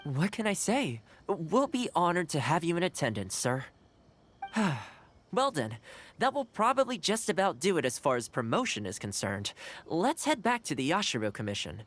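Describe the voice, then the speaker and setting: A man's voice: on the high side, very animated, normal speed, fairly bright tone. An actor playing a courteous, earnest young male housekeeper in a video game, speaking politely and formally. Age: young adult.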